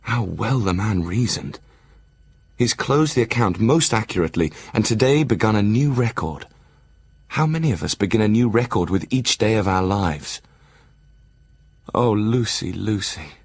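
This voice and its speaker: A man playing a brooding Victorian asylum doctor, narrating his journal in a measured, formal way for an audio drama. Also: lowish voice, expressive, husky, dry recording. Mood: melancholy. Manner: measured, formal, literary delivery.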